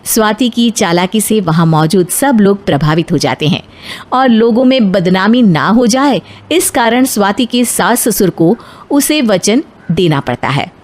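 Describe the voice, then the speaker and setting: A woman's voice: medium pitch, lively intonation, unhurried. A woman narrating a scripted moral story in a storytelling style.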